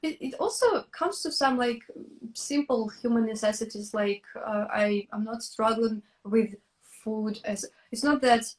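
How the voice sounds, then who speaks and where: A young woman from Russia, speaking English as a with a voice a bit higher than most. She talks very slowly, pausing to hunt for the right word. Her manner is thoughtful and unhurried, like casual stream chat. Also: rough recording. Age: young adult. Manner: thoughtful and hesitant, searching for words. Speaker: YouTuber, co-host of a live stream.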